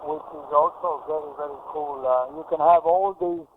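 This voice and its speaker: Gravelly older man over narrowband radio. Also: fairly high pitch, very animated, moderate pace. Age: middle-aged or older. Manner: rambling, hesitant, repeats words. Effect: radio transmission. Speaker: amateur radio operator. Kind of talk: casual radio contact chat. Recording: narrowband radio link with faint noise.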